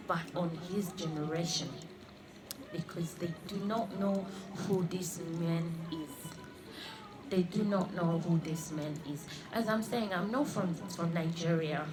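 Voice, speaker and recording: A woman in her thirties, born in Congo and raised in Australia, giving an earnest personal religious testimony and often halting to repeat her phrases. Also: fairly low pitch, poor audio. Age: 30s. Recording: music playing under the voice. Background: clear background music.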